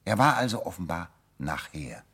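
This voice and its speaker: A deep-voiced, animated master detective. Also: male voice, normal speed, slightly rough, full character voice. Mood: self-assured, explanatory. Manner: theatrical, self-assured, amused chuckles between explanations. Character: confident, sharp-witted male detective explaining his deductions. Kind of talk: radio play acting.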